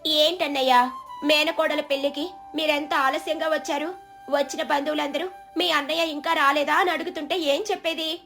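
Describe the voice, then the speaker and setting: A woman's voice: high-pitched, fairly even intonation, moderate pace, vocal fry, bright, forceful tone, faint music behind, pitched above the performer's own voice, full character voice. A woman voicing a haughty, scornful sister who scolds irritably in a moral story. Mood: nagging. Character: haughty, scornful younger sister at her daughter's wedding.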